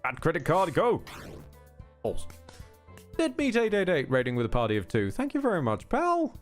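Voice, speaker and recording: A man's voice: a little high, wildly swooping pitch, moderate pace, soft background music. A man giving casual live game commentary as a streamer, swearing, grumbling wryly and cutting himself off. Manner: sweary, self-interrupting, wry grumbling.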